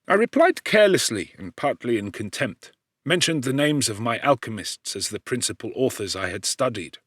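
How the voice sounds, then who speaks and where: A man's voice: medium pitch, big pitch swings, moderate pace, fairly bright tone, no room sound. Man reading a classic novel, dry close sound. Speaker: audiobook narrator. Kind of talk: scripted literary narration of a classic novel.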